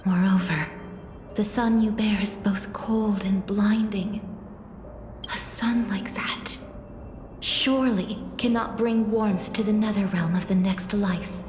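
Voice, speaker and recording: An actress playing a gentle, sorrowful demigod of death in a game, speaking softly and formally. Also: female voice, medium pitch, steady tone, slow, close to an everyday voice. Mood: solemn. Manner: soft, formal, mournful. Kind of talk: dramatic game voice acting. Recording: echoing voice over music.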